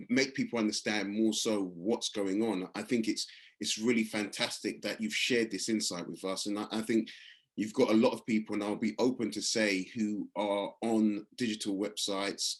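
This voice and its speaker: Guy hosting a video call meeting, lowish voice, appreciative and measured. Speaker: meeting host.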